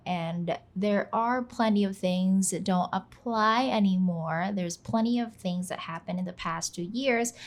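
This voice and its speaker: Chatty young woman from the Philippines. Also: on the low side. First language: Filipino. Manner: chatty, self-deprecating, peppered with "like". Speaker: YouTuber, channel host. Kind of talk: casual talk to camera. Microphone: voice-over, not on camera.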